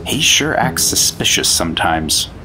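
A man's voice: low voice, very animated, measured pace, music in the background, low-quality recording. An American man playing a bitter, impatient junior government agent in a 1950s radio drama, sullen and challenging. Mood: matter-of-fact.

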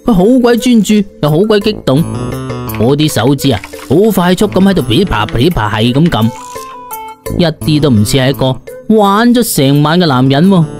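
A man's voice: fairly high pitch, a little mellow. A man with a Cantonese accent narrating a comic first-person audiobook in a colloquial, theatrical way.

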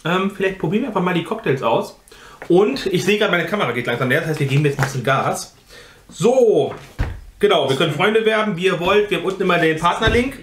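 A man's voice: high-pitched, expressive, quick pace, roomy sound, slight background noise. A man in his thirties, co-hosting a food box unboxing vlog at a table, talking in a loose, rambling way with many fillers. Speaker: food box vlogger, co-host. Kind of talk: casual unboxing chat. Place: indoor kitchen or living room, seated at a table.

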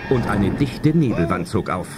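A man's voice: sits low, expressive, normal speed. A man narrating a suspenseful adventure audio drama in scripted storyteller style. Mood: suspenseful storytelling. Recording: loud music under narration.

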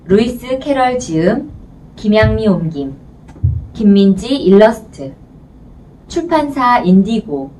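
A woman's voice: mid-range voice, big pitch swings, slow, long pauses, warm tone. Female audiobook narrator for children's fiction, mid-range voice, faint noise behind. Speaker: audiobook reader. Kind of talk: scripted storybook narration. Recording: distant mic, faint background noise.

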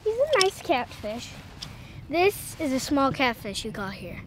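Woman angler, high, slow, chatty, recorded on a boat with noise. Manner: relaxed, chatty commentary while handling fish. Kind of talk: casual on-water commentary. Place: outdoors on a boat on a lake. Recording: noisy outdoor boat audio.

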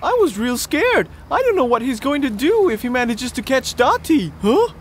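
High, animated cartoon boy pal. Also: normal speed, a little hiss or hum. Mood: rattled. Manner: slangy, exclamatory. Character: big, easygoing boy, a chatty loyal pal. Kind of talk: English-dubbed cartoon acting.

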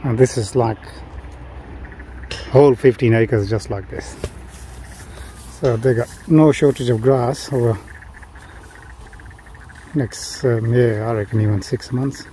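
A man's voice: medium pitch, takes it slowly, long pauses, low-quality recording. A man chatting informally as he narrates a casual vlog outdoors on a farm. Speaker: farm vlogger. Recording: loud engine and outdoor ambient noise.

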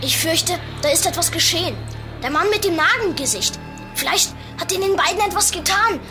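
A woman plays a bookish young boy detective with a very high voice. He explains things precisely and rattles easily, in a children's radio play with music behind him. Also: expressive, moderate pace. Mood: worried, urgent. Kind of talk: children's audio drama acting.

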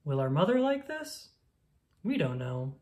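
A woman reading a rhyming children's picture book aloud in a playful, sing-song, expressive manner. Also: sits low, a little slow, slight background noise.

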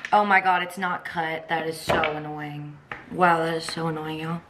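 A young woman with a twang talks to her camera while she moves around her kitchen and balcony. Her voice sits a touch low, and she chats in an upbeat way, full of fillers. A steady hiss of background noise runs under the recording. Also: normal speed, roomy sound. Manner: chatty, upbeat, heavy use of "like" and "y'all". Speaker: vlogger. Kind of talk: casual vlog chat to camera. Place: home kitchen and apartment balcony.

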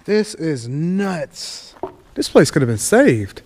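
A man walking through an abandoned school with an action camera, talking to himself in low asides and getting excited at what he finds. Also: slow, slight background noise. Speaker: urban explorer vlogger. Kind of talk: casual walk-through narration. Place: abandoned school building, large indoor halls. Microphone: head or chest-mounted action camera.

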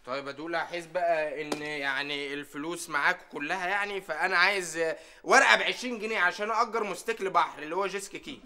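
A man's voice: a little high, quick pace, sharp tone. A man playing a grumbling, put-upon shop assistant with an Egyptian accent. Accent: Egyptian Arabic. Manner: whiny, grumbling.

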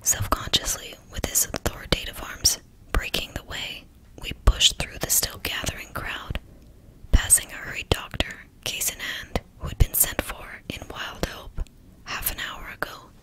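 A woman reading a novel aloud in a steady, hushed whisper. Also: measured pace, low-quality recording. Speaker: narrator.

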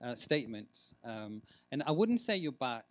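Male conference speaker, mid-range voice, emphatic and deliberate, closely recorded with little room sound. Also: mid-range voice, big pitch swings, no room sound. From Greece. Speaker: researcher giving a conference talk. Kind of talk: conference presentation with discussion. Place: large conference room, at a lectern.

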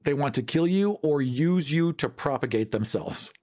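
A man's voice: on the high side, lively intonation, normal speed, a little mellow. A man co-hosting a podcast in casual debate, giving his opinions emphatically. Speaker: podcast co-host.

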